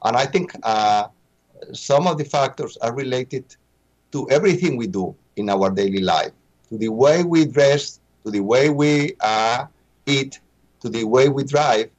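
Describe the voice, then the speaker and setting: A man's voice: mid-range voice, animated, unhurried, a little mellow. A man who is not a native English speaker, giving explanatory interview answers as an expert, often searching for words and repeating himself. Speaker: interview guest, population expert.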